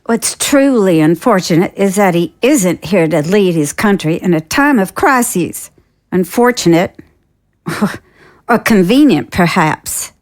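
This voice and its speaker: A woman's voice: medium pitch, lively intonation, slow pace. A woman playing a skeptical, imperious senator in an audio drama, with a pointed, formal, theatrical delivery. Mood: disapproving, insinuating.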